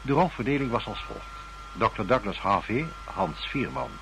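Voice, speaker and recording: A man's voice: mid-range voice, lively intonation, normal speed, creaky, noisy background. A man working as a radio announcer, reading scripted credits in an old recording.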